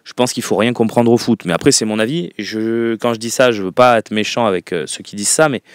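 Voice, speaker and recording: A man's voice: fairly low pitch, a little fast, clear, resonant tone, dry recording, clean studio sound. A man hosting a football call-in show, giving emphatic, opinionated takes with frequent fillers. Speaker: radio call-in show host. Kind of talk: casual football phone-in talk.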